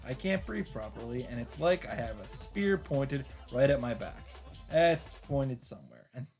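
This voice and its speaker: A man's voice: medium pitch, a little mellow. A man with a mid-range voice reads a visual novel aloud as a let's player. He moves between story narration and casual, joking asides. Game music plays behind his voice.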